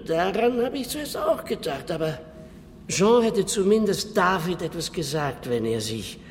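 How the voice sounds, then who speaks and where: A man's voice: high voice, big pitch swings, a little slow, heavily acted. An actor playing an earnest, talkative middle-aged wine merchant and father in a radio play, explaining himself. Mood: worried, earnest. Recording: reverberant cellar-like room, faint noise.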